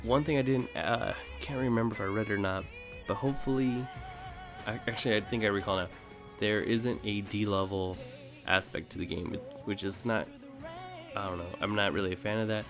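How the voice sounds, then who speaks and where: A man's voice: fairly low pitch, steady tone, brisk. A man hosting a gaming video, talking casually off the cuff in a hesitant, rambling way as he thinks aloud. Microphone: voice-over recorded over gameplay. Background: music under the voice.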